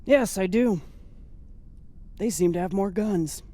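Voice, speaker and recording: A man's voice: sits high, slow, warm tone. A man playing a haunted, hardened space pilot in a video game, terse, wry and profane. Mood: dry, sardonic. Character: hardened, haunted, foul-mouthed space pilot grieving a husband. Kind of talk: dramatic video game dialogue.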